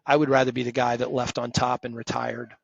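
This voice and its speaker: Gravelly fifty-something man, emphatic. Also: mid-range voice, flat delivery, brisk, muffled recording. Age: 50s. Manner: emphatic, direct, self-described loud voice. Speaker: podcast guest, retired firm partner. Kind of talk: conversational interview.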